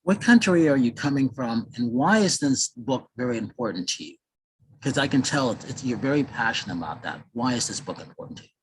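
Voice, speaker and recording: A man's voice: mid-range voice, lively intonation, brisk, slight background noise, poor audio. A man hosting an interview show, giving upbeat promotional introductions and asking questions. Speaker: show host. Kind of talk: interview host.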